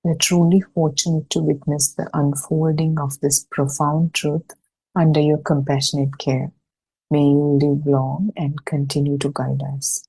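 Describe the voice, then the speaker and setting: A woman reading a religious discussion text aloud for an online study session, in a measured, deliberate way with pauses between short phrases. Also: deep voice, creaky, mellow, husky, rough recording. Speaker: reader and host of an online Buddhist study session. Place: online video meeting.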